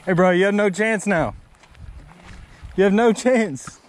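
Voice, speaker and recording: A young man filming himself fishing at a pond, chatting casually and teasing his brother with excited, laughing commentary. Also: high voice, a little slow. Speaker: angler filming a fishing challenge. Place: outdoors by a rural pond. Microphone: head-mounted action camera.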